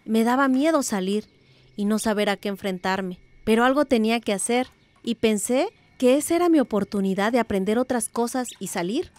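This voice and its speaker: A woman's voice: a little high, lively intonation, moderate pace, a little mellow, dry recording, lightly acted. A woman playing a thoughtful, determined middle-aged village aunt in a radio drama, speaking earnestly and reflectively.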